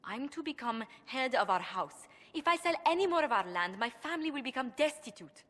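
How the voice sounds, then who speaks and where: A woman's voice: high voice, big pitch swings, a little fast, pushed and bright, big-room sound, exaggerated voice. An actress playing a proud, worried young noblewoman diplomat in a video game, speaking formally, precisely and earnestly. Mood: frustrated, worried. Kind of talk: video game cutscene acting.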